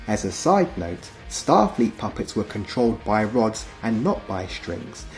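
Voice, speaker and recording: A man with a fairly low voice narrates from a script, documentary style. Music plays behind him. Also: big pitch swings, unhurried, rough recording.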